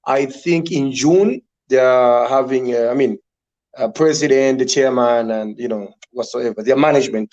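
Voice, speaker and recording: A man's voice: medium pitch, moderate pace. Easygoing man on a muffled call. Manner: relaxed, many "you know" fillers. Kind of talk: casual committee call. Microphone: online call. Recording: dull lo-fi call audio.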